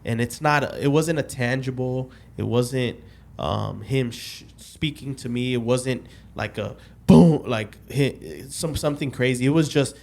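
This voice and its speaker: A man's voice: medium pitch, measured pace, vocal fry, few pauses, a little mellow. A man in his late twenties telling a personal story as a guest on a casual podcast, rambling, restarting often and losing track of the dates.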